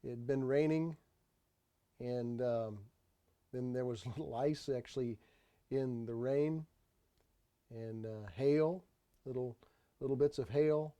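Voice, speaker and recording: Guy doing voice-over for a travel tour video, relaxed mellow tone, kinda halting, repeats himself as he explains stuff. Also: mid-range voice. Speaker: tour narrator.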